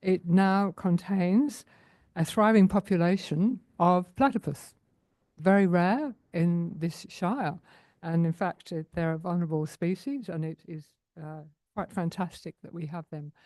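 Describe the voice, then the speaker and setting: A woman addresses a council meeting as president of a local community group. Her voice is low and mellow, and her delivery is earnest and unhurried. The recording is dry and close. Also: dry recording. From Australia. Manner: earnest, unhurried, with many fillers. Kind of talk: public comment at council meeting.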